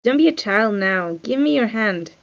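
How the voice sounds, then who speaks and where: A woman's voice: fairly high pitch, lively intonation, normal speed. A woman playing a commanding, unsentimental witch mentor in a screenplay table read. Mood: coaxing, impatient. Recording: muffled online meeting audio.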